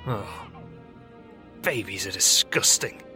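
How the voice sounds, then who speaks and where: A man's voice: low voice, expressive, slow pace, bright, forceful tone, faint music behind, full character voice. A man with a British accent playing a crude, sarcastic, cowardly hotel guest in an audio drama. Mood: sneering, irritated. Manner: sneering, sarcastic, swearing.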